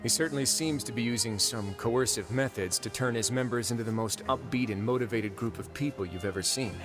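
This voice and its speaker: An actor playing a composed, fair-minded duke and prison warden in a video game, measured and dryly wry. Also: male voice, mid-range voice, close to an everyday voice. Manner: measured, deliberate, dryly playful. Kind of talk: video game character dialogue. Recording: game music behind the voice.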